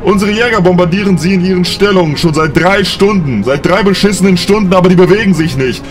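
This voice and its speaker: A man as high-voiced ruthless space officer. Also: fast talker, music in the background, lightly acted. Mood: grim, commanding. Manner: commanding, blunt. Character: ruthless, cursing male sci-fi military officer. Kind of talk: science fiction audio drama acting.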